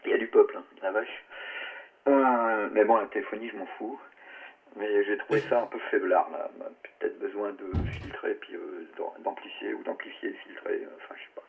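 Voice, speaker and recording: A man's voice: fairly low pitch, fairly even intonation, a little fast, gravelly, husky. A man chatting casually as a radio operator, colloquial and salty, with muttered asides, over a narrowband transmission. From France. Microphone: radio transceiver microphone. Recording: narrowband ham radio, light noise.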